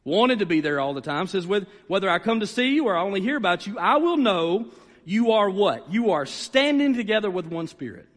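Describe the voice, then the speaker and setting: A man's voice: sits high, very animated, brisk. A man preaching a sermon as a pastor, conversational yet emphatic, repeating phrases and checking in with his listeners. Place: large room, preaching to a gathered congregation. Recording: reverberant hall sound.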